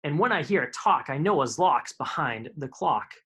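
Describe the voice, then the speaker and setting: A man's voice: on the high side, animated, moderate pace, a bit bright, husky. Man reading picture books aloud to kids. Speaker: karate instructor reading children's books aloud. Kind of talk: live storytime read-aloud to children.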